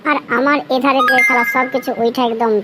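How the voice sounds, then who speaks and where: Woman acting a saucy high-voiced cartoon sister-in-law. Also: flat delivery, a little fast, heavy background noise, close to an everyday voice. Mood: longing, frustrated. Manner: bold, earthy, suggestive. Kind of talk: comic cartoon dialogue.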